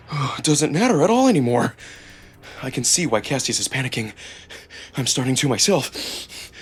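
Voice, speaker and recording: A man reading a visual novel in the first person as a theatrical, expressive narrator. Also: mid-range voice, breathy edge. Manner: theatrical, expressive, acts out emotion. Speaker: narrator and performer. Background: music.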